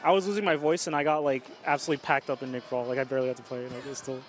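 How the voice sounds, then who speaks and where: A man giving quick analytical color commentary on a live esports match at a large venue. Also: medium pitch, steady tone, soft background music.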